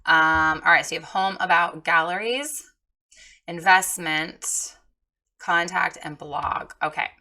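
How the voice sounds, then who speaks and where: Hoarse woman giving frank website feedback. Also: lowish voice, takes it slowly, pushed and bright. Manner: chatty, frank, encouraging with filler ums. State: hoarse, recovering from lost voice. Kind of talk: casual screen-share critique.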